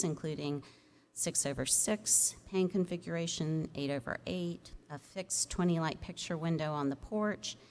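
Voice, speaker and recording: Low-voiced woman reading a formal report. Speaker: staff planner presenting a case. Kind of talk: formal report read aloud at a public hearing. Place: public commission meeting room. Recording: noisy public meeting room microphone.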